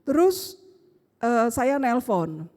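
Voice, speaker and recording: Middle-aged woman pastor, Surabaya Javanese accent, high voice, long hall reverb. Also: very slow. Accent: Surabaya Javanese Indonesian. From Surabaya, Indonesia. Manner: animated storytelling with rhetorical questions, acts out family dialogue. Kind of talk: sermon. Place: church sanctuary.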